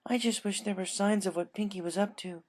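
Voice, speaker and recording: A narrator voicing a kindly, apologetic female pony shopkeeper with a soft, polite, motherly manner in a story. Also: mid-range voice, fairly quick, pitched above the performer's own voice, lightly acted. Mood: regretful.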